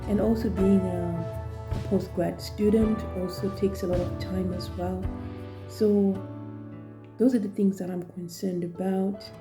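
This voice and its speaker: A woman in her forties from Zimbabwe, with a Zimbabwean accent, talking thoughtfully in a personal vlog with many pauses and fillers. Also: mid-range voice, fairly even intonation, music in the background. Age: 40s. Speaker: vlogger and trainee teacher.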